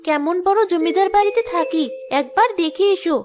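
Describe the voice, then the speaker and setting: A proud young cartoon bride acted by a woman, speaking extremely high with a Bangladeshi accent. She sounds vain, full of complaint and boastfulness. Faint music sits under the voice. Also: a little fast. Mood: boastful, envious. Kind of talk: cartoon dialogue dub.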